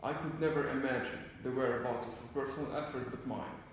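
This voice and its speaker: Young man, mid-pitched, hosts a documentary, formal and wordy; booming hall with faint noise. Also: steady tone, fairly quick. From Algeria. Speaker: documentary host and interviewer. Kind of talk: documentary narration and interview.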